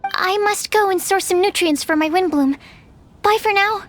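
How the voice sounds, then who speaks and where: A woman's voice: very high voice, moderate pace, a little hiss or hum. A woman voicing a nerdy young female alchemist in a video game, dreamy, earnest and gushing as she explains. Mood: earnest enthusiasm. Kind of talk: animated video game dialogue. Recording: studio voice over game music.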